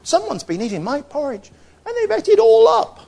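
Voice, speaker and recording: A man voicing a tiny, plaintive baby bear in a small squeaky storybook voice. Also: huge pitch swings, normal speed, gravelly, dark tone, heavily acted. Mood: upset, squealing.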